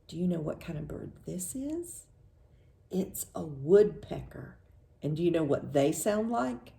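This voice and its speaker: A woman's voice: low-pitched, big pitch swings, a little slow, gravelly, dark tone. An American woman hosting storytime for young children, reading in a singsong way and asking simple questions, then affirming the answers. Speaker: children's librarian, storytime host.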